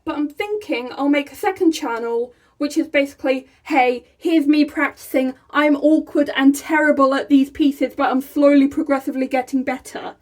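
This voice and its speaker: A young woman from England, chatting casually to her camera in a small room, excitable and laughing often. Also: high-pitched. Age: young adult. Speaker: vlogger. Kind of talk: casual vlog chat. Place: small room by a rainy window, indoors.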